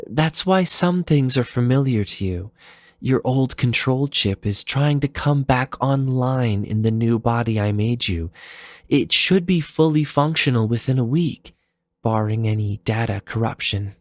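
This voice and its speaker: A man voicing an earnest, urgent female android scientist in a dramatic fan fiction reading, hushed and imploring. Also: medium pitch, dry recording, pitched above the performer's own voice.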